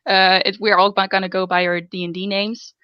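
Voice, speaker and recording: A woman's voice: medium pitch, flat delivery, a little fast, clear, resonant tone, no echo, muffled recording. A young Dutch woman with a Dutch accent, hosting a casual group podcast in English, chatty and self-deprecating, laughing often. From Netherlands. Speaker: podcast host.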